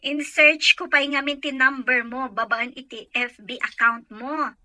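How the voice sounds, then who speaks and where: A woman's voice: sits high, fairly even intonation, unhurried, bright, forceful tone, no echo, heavily acted. An actress playing a chatty, friendly woman catching up with an old friend in an Ilocano radio drama.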